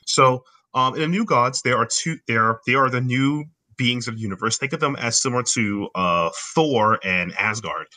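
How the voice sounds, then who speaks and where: A man's voice: medium pitch, moderate pace, few pauses, bright, forceful tone. A man with a Haitian accent co-hosting a podcast, chatting casually and explaining things at length with enthusiasm. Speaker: podcast co-host. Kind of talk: casual chat.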